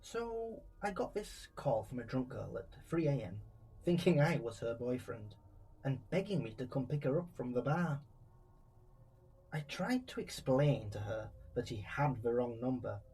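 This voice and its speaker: A male true crime narrator reads scripted, first-person creepy tales. His voice is mellow and relaxed, with a husky, slightly rough texture. He sits at an ordinary middle pitch for a man. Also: rough recording.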